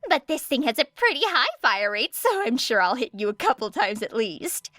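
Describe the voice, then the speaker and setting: A woman voicing a brash, excitable teenage girl inventor in an audiobook story, boastful and eager. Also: fairly high pitch, normal speed, no echo, studio quality.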